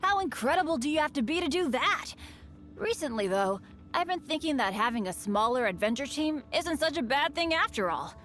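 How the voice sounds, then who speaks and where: Eager teen boy adventurer for a video game, kinda high and super bouncy pitch, humble and chatty. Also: very animated, fairly quick, a bit bright, faint music behind. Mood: admiring, earnest. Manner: earnest, chatty, self-deprecating. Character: cheerful unlucky teenage boy adventurer, eager, humble and good-hearted. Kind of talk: video game character voice lines.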